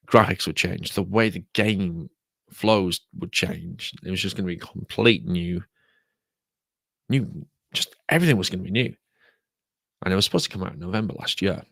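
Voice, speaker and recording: A British man hosting a solo podcast, talking casually and repeating himself, croaky from a sore throat. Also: low-pitched, normal speed, a bit bright, no echo. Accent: British English. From United Kingdom. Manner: rambling, repeats words. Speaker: podcast host.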